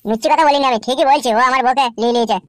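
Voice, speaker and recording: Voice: high voice, very fast, a bit bright, no echo, studio quality. A voice actor playing a heartbroken, irritable village man in a comedy cartoon, complaining gloomily. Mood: bitter. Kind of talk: amateur Bengali comedy cartoon dialogue.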